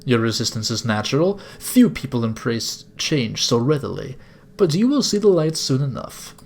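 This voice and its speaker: A man's voice: medium pitch, big pitch swings, moderate pace, creaky. Man putting on a sinister, sing-song elderly cult lord. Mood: preaching. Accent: put-on foreign accent. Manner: formal, unctuous, preaching. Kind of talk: video game villain monologue.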